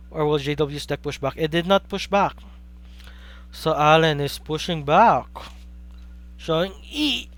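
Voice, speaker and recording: Excitable Filipino man calling a card game. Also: a little high. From Philippines. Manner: excitable, asks rhetorical questions, chats with viewers. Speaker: caster for a card game stream. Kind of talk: live play-by-play commentary.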